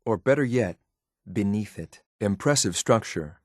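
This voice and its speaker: A man's voice: lowish voice, expressive, a little slow, dry recording, studio quality. A man playing a cool, efficient synth enforcer in a video game, clipped and matter-of-fact, watchful and faintly condescending. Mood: cool, wary. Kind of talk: video game companion voice lines.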